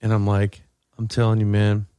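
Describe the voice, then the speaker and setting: Animated man telling stories on a podcast. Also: lowish voice, normal speed, clean tone, dry recording. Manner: animated, acting out dialogue, swearing. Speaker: podcast co-host. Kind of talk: casual chat and storytelling.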